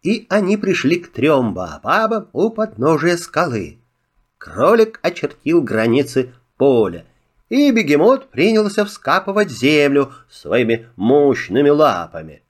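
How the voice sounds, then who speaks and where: A man narrating a children's fairy tale filmstrip in a measured, expressive storytelling manner. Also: a little high, husky.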